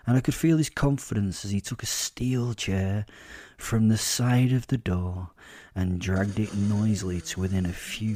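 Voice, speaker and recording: Male narrator, wry werewolf storyteller for audio drama. Mellow, lowish voice, measured and pause-heavy, dry recording. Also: no echo. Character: werewolf telling his life story, wry and boastful. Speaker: narrator and host of a fiction podcast.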